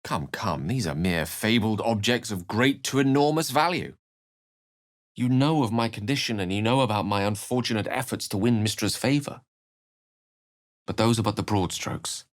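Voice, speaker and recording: An actor playing a learned, courteous and wry wizard with a touch of melancholy, speaking in articulate, theatrical phrases for a video game. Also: male voice, fairly low pitch, normal speed, dry recording, clean studio sound, close to an everyday voice. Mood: troubled. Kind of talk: video game character lines and narration.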